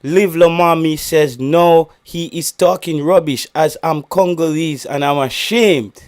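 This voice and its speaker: A man's voice: a little high, unhurried. A man hosting a football chat with casual, teasing banter and informal, repeated phrases. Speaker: host.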